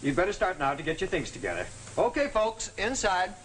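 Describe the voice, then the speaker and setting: An actor in a 1940s film playing a bland, unbending official with a bossy, falsely soothing way of talking. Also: male voice, high-pitched, sing-song, brisk, fairly bright tone. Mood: brisk, falsely soothing. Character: bland, unbending male official evicting a family. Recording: noisy old 1940s film soundtrack.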